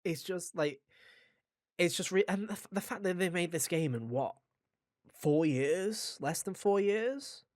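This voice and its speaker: A man with a somewhat high voice chats casually as a gaming podcast host. He is enthusiastic and rambles from one thought to the next, swearing freely along the way. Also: measured pace. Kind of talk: casual video game discussion.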